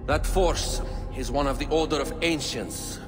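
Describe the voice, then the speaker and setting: An actor playing a grave, formal informant who warns of a secret order in an ancient Egyptian game drama. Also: male voice, fairly high pitch, a little slow, lightly acted. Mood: grave, ominous. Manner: solemn, ominous, formal. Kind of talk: dramatic video game cutscene acting. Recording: echoing hall, loud music underneath.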